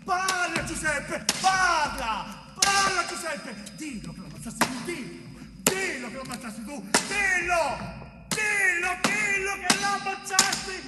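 An actor playing a brutal police interrogator in a stage drama, menacing and insistent, barking repeated orders. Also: male voice, very high-pitched, unhurried. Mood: furious, pressing. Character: brutal male police interrogator demanding a confession. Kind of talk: theatrical stage monologue acting. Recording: echoey theatre hall from far off, music behind.

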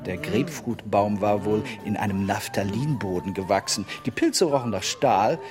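An actor playing a fastidious, put-upon man who narrates in a German radio play, dry and fussy, prone to indignation. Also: deep voice, over music, lightly acted. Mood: disgusted, resigned. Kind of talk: German radio play acting, interior monologue.

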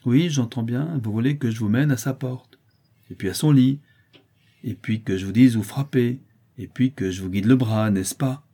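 A man's voice: mid-range voice, normal speed, warm tone, lightly acted. A man voicing a sly, mocking crippled street boy in a dramatized audiobook. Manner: jeering, sneering.

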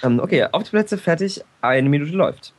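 Man, slightly high voice, husky, hesitant, casual co-host. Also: lively intonation, a little fast. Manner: hesitant, full of fillers and restarts. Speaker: podcast co-host. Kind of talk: casual game chat.

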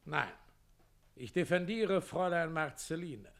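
Shrill, creaky, pompous doctor-advocate. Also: male voice, lively intonation, a little slow. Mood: exasperated. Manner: pompous, emphatic, argumentative. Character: pompous, litigious male doctor acting as advocate. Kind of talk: German stage comedy radio play acting.

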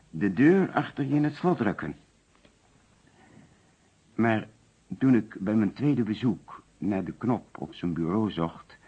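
Muffled old radio drama, nervous evasive suspect guy, really deep voice, hesitant explaining. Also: breathy edge, exaggerated voice. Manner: hesitant, explanatory, protesting when pressed. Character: male insurance agent, murder suspect, anxious and evasive. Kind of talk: old Dutch radio detective play acting. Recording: dull lo-fi old radio recording.

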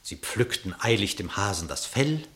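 A man narrates a verse story for a classic radio play. His voice sits fairly low, and he tells it expressively in measured, dramatic phrases. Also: very animated, bright, forceful tone, a little hiss or hum.

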